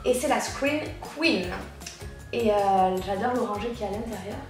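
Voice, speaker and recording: A woman's voice: medium pitch, moderate pace. Woman beauty vlogger, stuffy with a cold, loose chatty delivery; roomy echo, distant mic. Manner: chatty, rambling, frequent fillers. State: congested with a cold. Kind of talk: casual makeup chat while applying products. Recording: very echoey room, off-mic, faint music.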